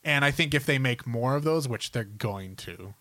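A man hosts a casual podcast about films and games. His voice sits at a medium pitch. He is informal and opinionated, happy to explain a point at length. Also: expressive, moderate pace, bright, forceful tone, dry recording. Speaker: podcast host.

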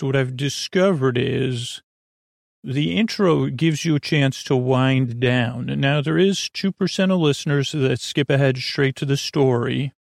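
A man hosting a bedtime podcast in a casual, meandering monologue, halting, trailing off and circling back, with a gravelly voice. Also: medium pitch, dry recording, studio quality. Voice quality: creaky, gravelly. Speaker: podcast host.

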